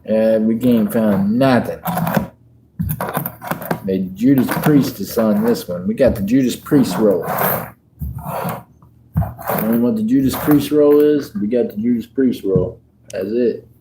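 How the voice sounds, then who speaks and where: Slow-talking laid-back man. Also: on the low side, background noise. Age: 50s. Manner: laid-back, joking, chats with viewers. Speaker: livestream host. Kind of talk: casual livestream chat while sorting coins.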